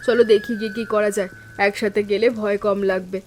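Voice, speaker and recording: A woman playing a calm, steadfast elder sister-in-law in an animated village story, speaking in a composed, firm and reassuring way. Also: medium pitch, fairly quick, soft background music, lightly acted. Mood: reassuring, resolute.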